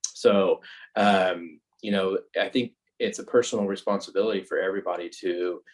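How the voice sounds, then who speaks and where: A man from California, a fire captain giving an informal, halting, conversational explanation as guest speaker on a video call. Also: low voice, steady tone. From California, United States.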